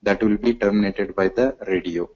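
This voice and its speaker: A man giving a halting, step-by-step software demonstration as a product demonstrator in a webinar. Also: fairly low pitch, fairly even intonation. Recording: dull lo-fi webinar audio.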